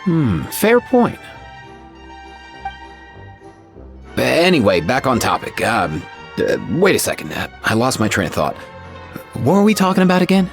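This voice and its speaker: A man playing an easygoing, scatterbrained, teasing young man in a video game, casual and expressive with halting speech. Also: medium pitch, soft background music. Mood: muddled.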